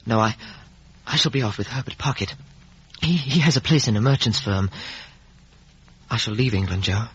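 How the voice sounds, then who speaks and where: An actor playing an earnest, remorseful gentleman telling his life story in a reflective, literary way in a 1950s radio drama. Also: mid-range voice, breathy edge. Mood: troubled, resolute. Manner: literary, reflective, halting with emotion. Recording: muffled vintage 1950s radio recording.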